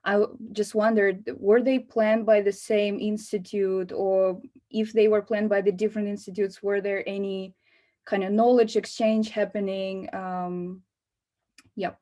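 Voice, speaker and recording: A woman's voice: medium pitch, flat delivery, measured pace, mellow. A woman in the audience asking a polite, tentative question on a video call.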